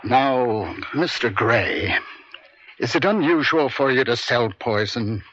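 A man acting a stern, pressing defense attorney in a radio courtroom drama, deliberate, pointed and domineering. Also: medium pitch, lively intonation, a bit bright. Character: stern, pressing male defense attorney cross-examining a witness. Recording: dry, dull vintage radio broadcast.

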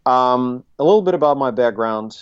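A middle-aged man, speaking conversationally as an interview guest and haltingly thinking his way through his answers. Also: mid-range voice, expressive, clear, resonant tone, muffled recording. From Russia, Soviet Union. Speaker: interview guest, tech consultancy CEO. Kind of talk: conversational interview, recounting career.

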